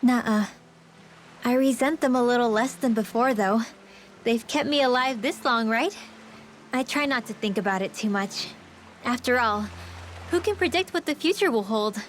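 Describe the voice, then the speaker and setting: A woman's voice: fairly high pitch, moderate pace, long pauses, slight background noise, close to an everyday voice. A woman voicing a caring, orphaned young mage girl in an English video game dub, speaking casually and candidly. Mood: wistful, candid. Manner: casual, candid, gently encouraging.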